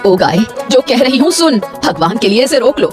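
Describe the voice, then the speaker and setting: A woman voicing a brash sweet-shop woman in an animated Hindi moral story, with an exaggerated, expressive delivery. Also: on the high side, normal speed, music in the background. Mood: outraged. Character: brash, gloating sweet-shop women and puzzled village wife.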